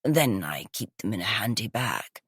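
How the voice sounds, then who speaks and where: A woman voicing a groggy older woman with a croaky, gravelly, smoky voice. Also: very deep voice, big pitch swings, normal speed, dry recording, clean studio sound, pitched below the performer's own voice, full character voice.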